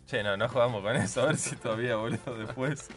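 A man's voice: medium pitch, steady tone, fast talker. A man with a Buenos Aires accent doing casual, joking banter as a radio co-host. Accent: Argentine, Buenos Aires. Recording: loud music over the voice.

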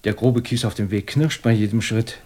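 Shrewd police inspector, played by a man, probing and deliberate. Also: fairly low pitch, warm tone, close to an everyday voice. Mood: accusing. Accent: standard German. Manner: probing, deliberate, ironically polite. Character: shrewd, methodical British police inspector pressing a suspect. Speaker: actor playing a police inspector. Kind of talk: German radio crime drama acting.

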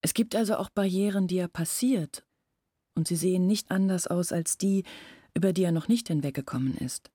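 A brooding young village woman in a literary radio play. Her voice sits rather low and is husky, recorded close and dry. She confides earnestly and anxiously, as if to one trusted listener. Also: normal speed, warm tone, dry recording. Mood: brooding, doubting. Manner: intimate, brooding, earnest explaining. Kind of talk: literary radio drama acting.

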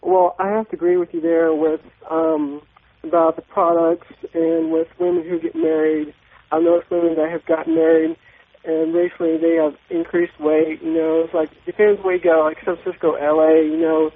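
Rambling male caller on a phone line. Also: a little high. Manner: rambling, hesitant.

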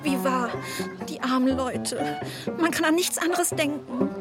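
A gushing, easily charmed young woman in a radio play. Her voice sits high and light, and her exclamations come out breathless. Loud music plays underneath her. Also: a little slow. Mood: earnest.

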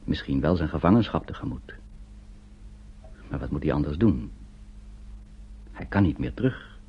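An actor narrating a radio play as a grave storyteller, in a measured, suspenseful, scripted style. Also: male voice, rumbling low, lively intonation, slightly rough, exaggerated voice. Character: grave storyteller recounting a wartime flight. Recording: dull old radio recording.

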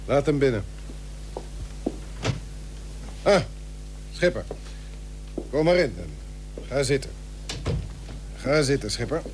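An actor playing a grave, persuasive seventeenth-century shipowner and statesman in a historical radio play, speaking measured, formal archaic Dutch. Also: medium pitch, sing-song. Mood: cordial. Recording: old radio recording with hum and hiss.

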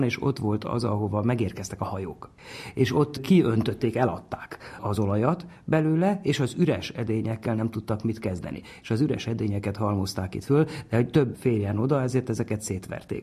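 A man's voice: lowish voice, mellow, breathy edge. A man giving an informal, explanatory talk as an expert on the ancient world, stressing key words conversationally. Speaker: expert on classical antiquity.

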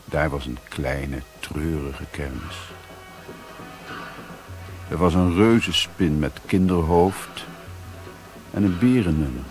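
An actor playing a weary, sombre adventurer, narrating his journey in measured, solemn literary style for a radio drama. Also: rumbling low, a little mellow, soft background music. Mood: sombre, brooding.

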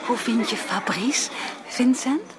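A woman's voice: a little high, lively intonation, slow pace. An actress playing a dreamy, elegant young society woman, genteel and slightly affected, in a Dutch period radio drama. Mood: playful. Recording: music and crowd of opera house.

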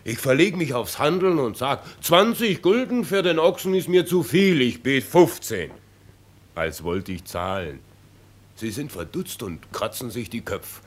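An actor playing a bold, boastful young soldier who tells tales with a swagger, in radio drama style. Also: male voice, medium pitch, animated, a little slow. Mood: excited boasting. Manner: swaggering storyteller.

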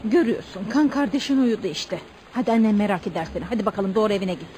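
An actress playing a spiteful, sharp-tongued older mother in a radio play, brusque and scolding. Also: mid-range voice, fast talker, natural voice. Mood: curt, dismissive. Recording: noisy radio drama recording.

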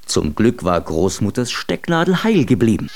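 A man's voice: lowish voice, very animated, moderate pace, background noise. A man narrating a children's radio play in a scripted storytelling style.

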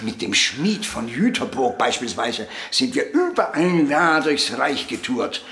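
An actor playing a proud, prickly old puppeteer in a radio drama, telling anecdotes theatrically and emphatically. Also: male voice, mid-range voice, very animated, a little slow, a bit bright, slight background noise. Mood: sly, reminiscing. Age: older.

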